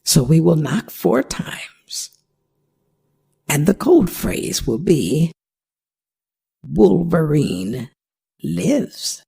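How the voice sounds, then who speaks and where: A performer voicing a worried, gentle older werewolf mother in an ASMR audio drama, speaking in halting, pleading phrases. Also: mid-range voice, big pitch swings, gravelly, slightly rough, heavily acted. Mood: earnest instructing.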